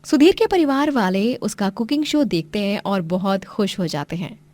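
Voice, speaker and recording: A woman's voice: mid-range voice, lively intonation, moderate pace, a little mellow, no room sound, studio quality. A woman narrator reading a scripted moral story.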